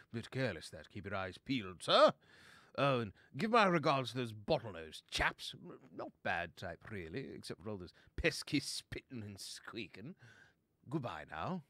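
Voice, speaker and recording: A man's voice: medium pitch, fairly bright tone, no room sound, clean studio sound. A man voicing a chatty upper-class animal adventurer in a storybook, jolly and pompous.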